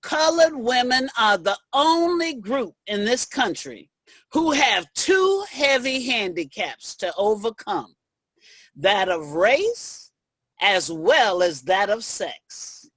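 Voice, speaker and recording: Woman acting a slow, resolute woman activist. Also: fairly high pitch, very animated, a bit bright, dry recording, heavily acted. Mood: grave, firm. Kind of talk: reader's theater monologue.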